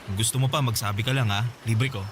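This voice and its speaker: A man playing an easygoing, devoted college boyfriend in an audio love-story drama, casual, tender and gently teasing. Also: mid-range voice, steady tone, fast talker, close to an everyday voice. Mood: easygoing, generous. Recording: street ambience with vehicle noise behind.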